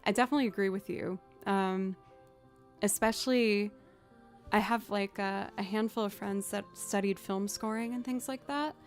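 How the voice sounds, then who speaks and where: Chatty low-voiced woman streamer. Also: steady tone, moderate pace, soft background music. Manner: chatty, rambling, self-deprecating. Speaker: streamer and musician. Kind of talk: casual chat with live chat.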